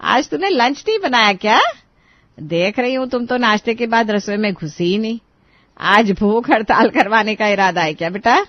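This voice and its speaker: A woman's voice: fairly high pitch, expressive, moderate pace, no room sound, muffled recording, close to an everyday voice. Woman acting a bossy, affectionate mother-in-law, close and dry. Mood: inquiring. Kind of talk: Hindi story dramatization.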